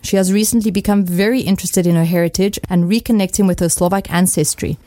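A woman's voice: lowish voice, steady tone, fast, mellow, dry recording. A woman hosting a radio program, presenting scripted introductions and interviewing guests. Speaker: radio host.

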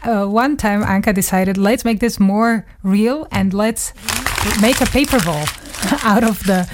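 A woman's voice: medium pitch, unhurried, noisy background. A woman radio journalist recalling a colleague in a thoughtful, conversational way, with small laughs between phrases, recorded in a radio studio. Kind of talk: conversational reminiscence.

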